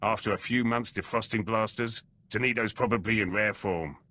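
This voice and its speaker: A gruff special-forces lieutenant from a video game. The voice is very low and husky, with a hard, forceful edge. He speaks in clipped, cynical phrases, as if every word costs him. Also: steady tone, normal speed. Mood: dry, matter-of-fact. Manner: terse, clipped phrases that drop words. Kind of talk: video game character dialogue.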